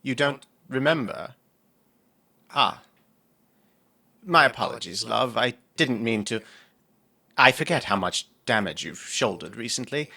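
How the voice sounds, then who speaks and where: An actor playing a doting, nerdy occult healer in an audio drama, speaking in a genteel, playful and formal way. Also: male voice, medium pitch, animated, measured pace, creaky, pauses often, sharp tone, full character voice. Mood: affectionate, showy.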